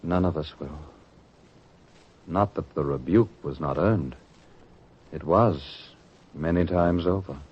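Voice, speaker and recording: A man's voice: rumbling low, slow, pauses often, slightly rough, heavily acted. A man acting a thoughtful, penitent disciple in a religious radio drama, speaking formally and theatrically. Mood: pensive. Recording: muffled radio recording with music.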